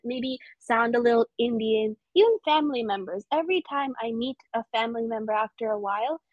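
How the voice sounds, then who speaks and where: A woman's voice: on the high side, no room sound, dull audio. A young woman with an American accent, a podcast guest chatting casually with lots of fillers and easy laughs. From United States. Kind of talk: casual interview chat.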